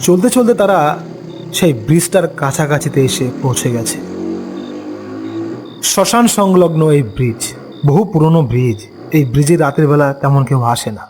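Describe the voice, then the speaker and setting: A man narrating an animated ghost story as a storyteller, unhurried and explanatory. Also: a little high, a little hiss or hum.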